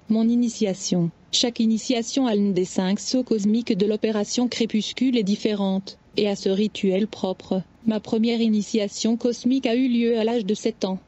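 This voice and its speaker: Female narrator, mid pitch, warm and even, reads testimony; muffled low-fi audio. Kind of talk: scripted first-person narration. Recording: dull lo-fi audio.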